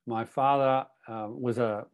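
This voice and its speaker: A Canadian-born man with an Australian accent, sharing his personal testimony as a guest speaker in an earnest, halting way with many restarts. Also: medium pitch, fairly even intonation, smooth.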